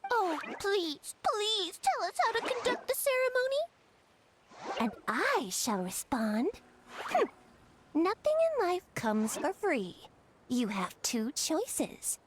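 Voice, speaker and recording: A woman's voice: very high voice, big pitch swings, slow, background noise, full character voice. A woman voicing a smug, scornful, self-important little fungus creature in a video game, very theatrical. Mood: grandly mocking.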